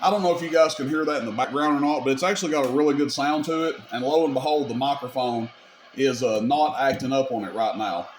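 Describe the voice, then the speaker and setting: A man with an average-pitched voice walks through a piece of gear like a video host. His delivery is informal and folksy, explaining each step casually as he goes. The camera microphone picks up clear noise and ambience behind him. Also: quick pace. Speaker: radio repair video host demonstrating gear. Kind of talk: casual hands-on product demonstration.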